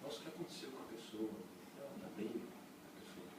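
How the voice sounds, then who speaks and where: A man with a Brazilian Portuguese accent teaching a breathing workshop in a chatty, lively way, throwing rhetorical questions to a small group. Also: medium pitch, a little fast, background noise. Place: workshop room with a small audience. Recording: echoey room, voice from a distance.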